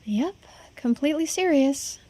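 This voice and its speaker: Woman voicing a coy, high-voiced immortal girl. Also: lively intonation, measured pace, dark tone. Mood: matter-of-fact. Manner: hesitant, understated, coy. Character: immortal centuries-old girl who looks seventeen, coy, playful. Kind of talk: voiced visual novel playthrough.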